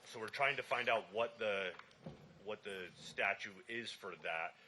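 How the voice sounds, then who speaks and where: A very bright, forceful male voice with a naturally loud delivery, pitched a little low. He tends to lean off the podium mic, so the level keeps dipping. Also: unhurried, slight background noise, rough recording. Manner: loud voice, speaks away from the mic. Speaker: public commenter, shuttle cart driver. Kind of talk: public comment at city meeting. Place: city commission chamber. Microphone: podium microphone, often off-mic.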